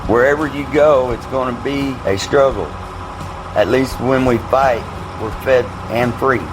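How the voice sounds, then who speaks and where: Male actor as hardened fighter, blunt and pragmatic, audio drama, mid pitch, vehicle noise behind. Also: fairly even intonation, unhurried, close to an everyday voice.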